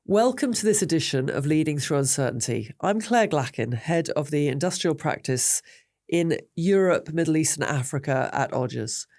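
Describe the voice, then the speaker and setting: A woman hosting an interview series, asking questions in a brisk, prompting way. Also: deep voice, a little mellow, no echo, clean studio sound. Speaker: host.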